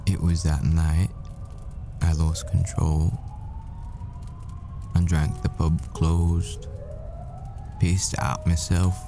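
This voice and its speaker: Boyfriend roleplay lead, male actor: haunted hitman living as a professor, very deep male voice, measured delivery, intimate and smitten. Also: flat delivery, creaky, over music, exaggerated voice. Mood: fond, teasing. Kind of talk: ASMR boyfriend roleplay, dramatic monologue.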